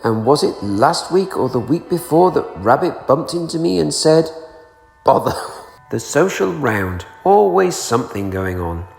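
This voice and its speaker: Storybook donkey, male reader: glum, pompous, slow and drawn out, gravelly edge, echoey sound. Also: a little high, big pitch swings, darkish tone, faint music behind, pitched above the performer's own voice, full character voice. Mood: aggrieved, stern. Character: gloomy, self-important old grumbling donkey.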